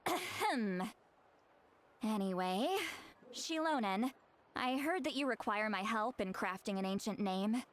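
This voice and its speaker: A woman's voice: medium pitch, expressive, a little slow, lightly acted. A woman playing a proud, prickly game shaman who is called granny but seems young, haughty and theatrical. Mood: composed. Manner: theatrical, haughty, huffy sighs and throat-clearing. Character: youthful-looking granny shaman, prickly, proud, easily embarrassed.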